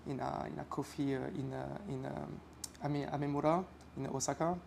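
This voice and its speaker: A young man from France with a French accent, chatting casually as a podcast guest and often pausing to search for English words. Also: mid-range voice, level tone, gravelly, a little mellow, a little hiss or hum. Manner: halting, searches for English words with many fillers.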